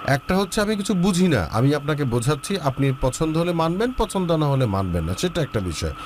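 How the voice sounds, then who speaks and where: A man's voice: fairly high pitch, sing-song, brisk, noisy background. A man hosting a love advice show, questioning a caller bluntly and impatiently. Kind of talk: counseling a caller.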